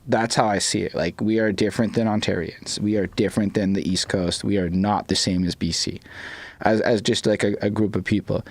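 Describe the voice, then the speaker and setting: Low-voiced dude, chill, says like a lot, dry close sound. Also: male voice, vocal fry, no room sound. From Quebec, Canada. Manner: laid-back, peppered with "like". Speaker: podcast co-host. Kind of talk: casual chat.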